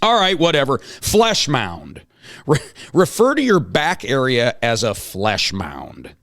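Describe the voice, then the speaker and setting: A man playing a flustered, long-winded, greedy school principal in an amateur comic table read, halting and exclamatory. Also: medium pitch, wildly swooping pitch, fairly bright tone, no echo, clean studio sound, heavily acted. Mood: squeamish, exasperated.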